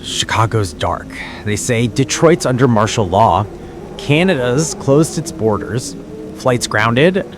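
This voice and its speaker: A man playing a weary small-town radio announcer giving grave, halting emergency broadcasts in a zombie apocalypse drama. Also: medium pitch, animated, over music. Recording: noisy radio broadcast ambience.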